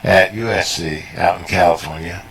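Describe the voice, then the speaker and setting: An older man with a rough, gruff voice, answering as an interview guest in a halting, self-effacing, conversational way. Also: low-pitched, fairly even intonation, a bit bright, a little hiss or hum. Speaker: interview guest, retired surgeon.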